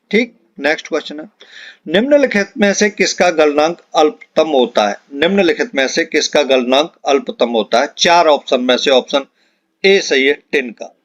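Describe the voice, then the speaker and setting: A man teaching exam prep, reading out quiz questions and answers in an instructional way with deliberate repetition. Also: a little high, fairly bright tone, no echo. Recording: dull, lo-fi close dry recording.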